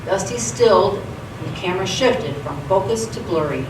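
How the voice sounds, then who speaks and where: A woman's voice: mid-range voice, animated, moderate pace. Woman narrator reading a stage radio play, echoey room, far from mic, noisy background. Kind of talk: scripted narration for live radio theatre. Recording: echoey theatre, distant mic, clear background noise.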